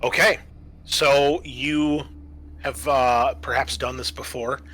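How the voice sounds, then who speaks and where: A man's voice: mid-range voice, fairly even intonation, slow, pushed and bright. A man acting as game master, casually explaining and improvising the narration of a tabletop roleplaying game.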